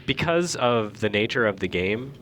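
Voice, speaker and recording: Low-voiced man joking over noisy background. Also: animated, moderate pace. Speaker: video game critic and commentator. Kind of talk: casual joking commentary over game cutscenes.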